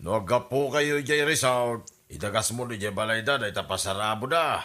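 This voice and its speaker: A man's voice: mid-range voice, normal speed, pushed and bright, natural voice. A middle-aged man acting a cautious, homebody father in an Ilocano radio comedy drama. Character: cautious, homebody father reminding his grown daughter.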